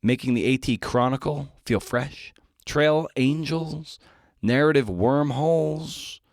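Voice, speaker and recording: A man's voice: mid-range voice, takes it slowly, no room sound, studio quality. A man speaking as a podcast host, introducing and interviewing a guest.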